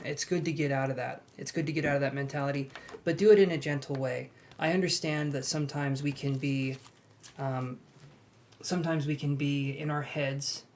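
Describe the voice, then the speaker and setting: Young guy doing a tarot live stream, kinda high voice, chatty and reassuring. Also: a little hiss or hum. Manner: chatty, gently reassuring, reads out viewer comments. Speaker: tarot reader. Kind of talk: live-stream chat with viewers.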